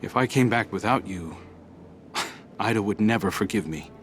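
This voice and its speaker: A man's voice: fairly low pitch, normal speed, a little hiss or hum, natural voice. A man playing a steadfast hunter leader in a video game, negotiating firmly. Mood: weary, firm.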